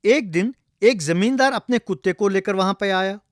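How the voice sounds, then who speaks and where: High-voiced male storyteller. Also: normal speed, dry recording, studio quality. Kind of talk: scripted comic folktale narration.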